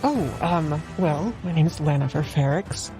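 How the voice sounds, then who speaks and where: A woman's voice: deep voice, lively intonation, measured pace, over music, low-quality recording. A woman playing a polite, hesitant scholar and musician turned adventurer in a tabletop game, courteous and easily amazed.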